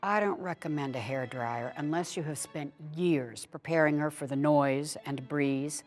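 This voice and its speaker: A woman actor giving an expressive dramatic reading of a humorous instructional essay, like a storyteller. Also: low voice, unhurried, soft background music. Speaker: actor reading a written piece aloud.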